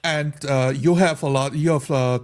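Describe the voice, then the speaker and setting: A man's voice: fairly high pitch, steady tone, moderate pace. A man talking casually in a group discussion as a tabletop role-playing player.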